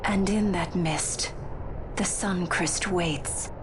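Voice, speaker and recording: A woman's voice: on the low side, extremely slow, background noise. A woman playing a proud, troubled young noblewoman in a video game, grave and formal. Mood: brooding. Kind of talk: video game cutscene acting.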